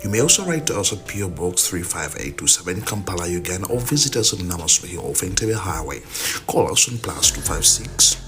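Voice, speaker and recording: A man reading an advertisement with contact details, brisk and announcer-like. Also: deep voice, very animated, music in the background. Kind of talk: advertisement read.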